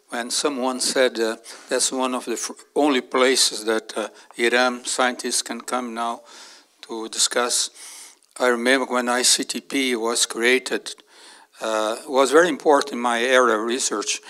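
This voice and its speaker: Older man with a bright, forceful voice. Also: mid-range voice. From Brazil. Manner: reflective, recalling history with restarts. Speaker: physicist panelist. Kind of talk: panel discussion answer. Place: large lecture hall. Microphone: handheld mic.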